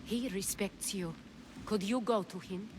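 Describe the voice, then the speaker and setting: A woman playing an elegant, worried, commanding Athenian noblewoman in a video game, measured and grave. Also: medium pitch, a little mellow, slight background noise, natural voice. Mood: worried, urgent. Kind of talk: video game cutscene acting.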